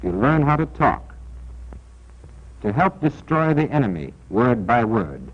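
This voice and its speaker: A man's voice: mid-range voice, big pitch swings. Dull 1940s film recording, emphatic American male narrator. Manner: didactic, deliberate, emphatic. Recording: dull, band-limited 1940s film soundtrack.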